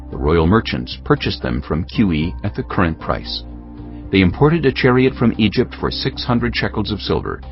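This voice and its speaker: Male narrator for dramatised scripture: low voice, solemn measured storytelling, slightly dull recording with music underneath.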